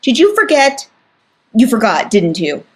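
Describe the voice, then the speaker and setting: A woman voicing an irritable, tough teenage girl who talks to her younger brother in a sharp, exasperated way. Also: a little high, wildly swooping pitch, unhurried, slightly rough, voice pushed up, full character voice. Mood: frantic.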